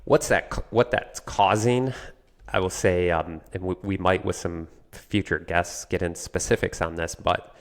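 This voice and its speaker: Very deep-voiced man explaining conversationally. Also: fairly even intonation, unhurried. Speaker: podcast guest explaining marketing strategy.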